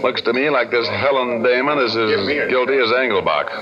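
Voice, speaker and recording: A man's voice: medium pitch, brisk, over a noisy crowd, close to an everyday voice. An American man playing a wisecracking, cocky private detective in 1940s radio style, breezy, slangy and flippant. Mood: dismissive. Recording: muffled 1940s radio broadcast, audience noise.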